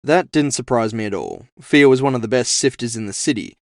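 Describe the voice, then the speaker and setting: A man's voice: medium pitch, brisk, no echo, studio quality. A man giving a scripted first-person narration of an audio fiction story as its author. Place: dry, close recording space.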